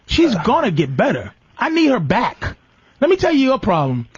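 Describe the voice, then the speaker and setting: A man's voice: high voice, huge pitch swings, moderate pace, slightly rough, dry recording. An American man hosting a talk show, giving blunt, profane, domineering advice in an unscripted comedic rant, often cutting in and repeating himself. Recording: slightly dull, lo-fi radio broadcast audio.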